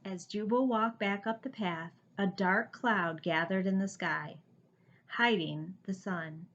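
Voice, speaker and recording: A woman reading a children's storybook aloud like a teacher, with deliberate, expressive narration. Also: medium pitch, dull audio. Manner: deliberate, animated.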